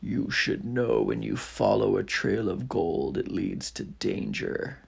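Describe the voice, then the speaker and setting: A man voicing a huge, greedy, gold-hoarding dragon in a bedtime story, speaking in slow, ominous pronouncements. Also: sits low, wildly swooping pitch, gravelly, breathy edge, voice pushed down, exaggerated voice. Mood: menacing. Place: home, at bedtime.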